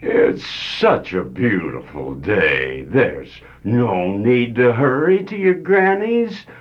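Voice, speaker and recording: A woman's voice: a bass voice, big pitch swings, takes it slowly, pitched below the performer's own voice, full character voice. A woman reading a storybook aloud, voicing a wicked, cunning male wolf who makes his voice falsely sweet. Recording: dull lo-fi storybook recording.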